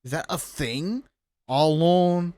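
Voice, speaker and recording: A man's voice: fairly high pitch, big pitch swings, normal speed, a bit bright, dry recording. A man giving casual live game commentary in a brash, slangy, profane and joking way. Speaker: game streamer. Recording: music under the voice.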